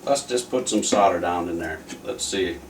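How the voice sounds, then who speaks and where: A man's voice: sits low, moderate pace. A man giving casual, offhand commentary as he thinks aloud during a hands-on repair. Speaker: repair video presenter. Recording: roomy, distant mic with faint noise.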